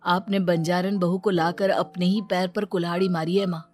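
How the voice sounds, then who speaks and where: A woman reading measured, explanatory character dialogue in a scripted cartoon story. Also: lowish voice, level tone, darkish tone, soft background music, lightly acted. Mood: firm.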